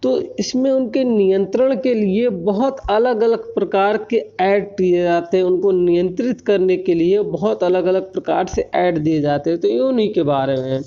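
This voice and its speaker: An Indian man giving an explanatory technical lecture, often pausing to check that listeners follow. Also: high voice, dark tone. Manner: frequent check-in tags while explaining. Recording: dull lo-fi recording.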